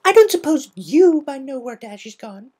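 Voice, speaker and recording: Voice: high voice, very animated, normal speed, a little mellow, pitched above the performer's own voice. A performer voicing a hyper, cheerful young female cartoon pony with a bouncy manner. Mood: curious. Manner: exclaiming, bouncy.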